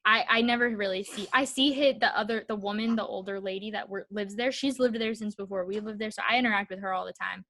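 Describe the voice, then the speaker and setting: A woman's voice: mid-range voice, quick pace, few pauses, fairly bright tone. A young woman co-hosting a podcast, telling stories casually and animatedly, peppering her speech with "like" and acting out dialogue. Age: young adult. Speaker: podcast co-host. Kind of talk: casual chatty storytelling.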